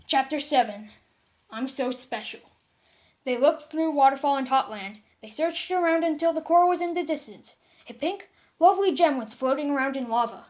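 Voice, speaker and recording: A high-voiced woman narrates a fan story as an amateur read-aloud. She reads with stumbles and repeated words. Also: lively intonation, moderate pace.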